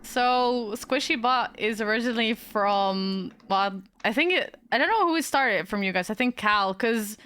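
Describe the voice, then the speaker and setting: Podcast guest: Dutch-accented woman, chatty, fillers, crisp bright voice. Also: a little high, a little slow, hardly any pauses. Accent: Dutch-influenced English. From Netherlands. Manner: chatty, laughs easily, many fillers. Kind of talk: casual chat.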